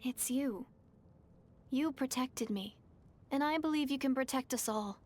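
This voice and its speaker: A woman's voice: high-pitched, measured pace, faint music behind. An earnest, hopeful young woman. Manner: earnest, sincere, gentle.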